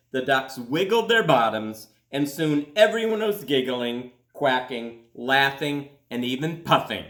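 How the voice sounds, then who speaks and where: A man, a school principal, reading a children's story aloud expressively, with dramatic pauses and drawn-out words. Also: mid-range voice, a bit bright. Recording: roomy echo.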